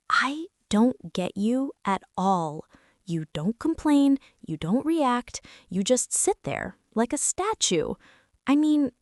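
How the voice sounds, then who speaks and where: A woman's voice: a little high, a little mellow, no echo, studio quality. A woman playing a bratty teenage girl bully in an ASMR roleplay, whining and sarcastic as she complains straight to the listener. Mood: grumbling, uneasy. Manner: whiny, sarcastic, exasperated complaining with sighs. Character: bratty teenage girl bully, grumbling, secretly softening toward tentmate.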